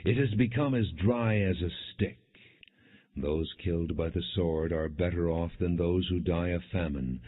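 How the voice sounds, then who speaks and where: A man narrating an audiobook, reading scripture in a solemn, measured and dramatic way. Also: low-pitched. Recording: tinny phone-like narrow-band sound.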